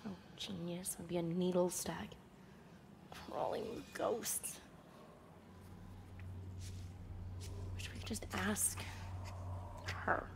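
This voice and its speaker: A woman's voice: lowish voice, fairly even intonation, slow, creaky, long pauses, noisy background. An actress playing a snappy, guarded young female medium who talks curtly and sarcastically in a video game cutscene. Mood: sarcastic. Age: young adult. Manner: curt, sarcastic.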